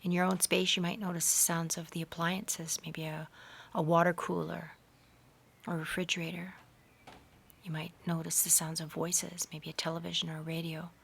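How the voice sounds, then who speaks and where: A woman leading a slow guided meditation in a measured, hushed voice that often softens into breathiness, recorded outdoors. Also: on the low side, little pitch movement, vocal fry, a little hiss or hum. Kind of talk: slow, soothing guided meditation with hypnotic induction. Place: outdoors in a garden. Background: faint outdoor garden ambience.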